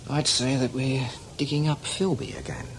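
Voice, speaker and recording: An actor playing a seasoned, cynical older British spy in a radio drama, talking in a wry, knowing, emphatic way. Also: male voice, medium pitch, steady tone, normal speed, gravelly, slight background noise, natural voice, rough recording. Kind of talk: radio drama acting, conversational.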